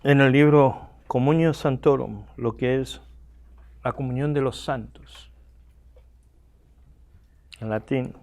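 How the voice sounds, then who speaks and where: A man's voice: medium pitch, slow, long pauses, a little mellow. A man from Argentina with an Argentine accent, giving a classroom lecture and often asking the students rhetorical questions. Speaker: seminary lecturer.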